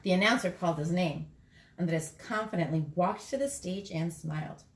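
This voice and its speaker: A woman reading a children's picture book aloud in a lively, expressive way for school kids. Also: on the low side, moderate pace. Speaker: school story reader. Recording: echoey room.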